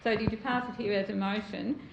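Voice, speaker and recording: A woman asking council pointed questions in a loud, direct manner at a public meeting. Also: medium pitch, fairly even intonation, quick pace, creaky, slight background noise. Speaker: community member asking questions. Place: council chamber. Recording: echoey muffled council chamber.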